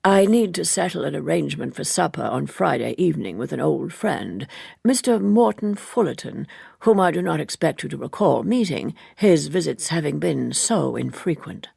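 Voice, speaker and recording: A woman voicing a courtly, wordy older gentleman author in a measured, formal, orotund manner, as in an audiobook. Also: low-pitched, animated, creaky, slightly rough, dry recording, studio quality, exaggerated voice.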